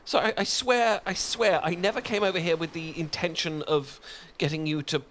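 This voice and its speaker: A man's voice: high-pitched, fairly even intonation, moderate pace, a bit bright, a little hiss or hum. A British man in an ASMR roleplay, talking in a flustered, stammering, self-deprecating way with nervous laughs and sighs.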